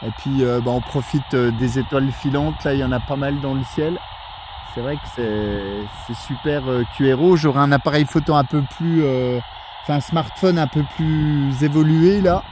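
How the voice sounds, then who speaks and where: A man's voice: mid-range voice, moderate pace, background noise. A man chatting as an amateur radio operator outdoors on a mountain at night, friendly and chatty with polite sign-offs, into a handheld radio mic. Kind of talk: casual radio chat.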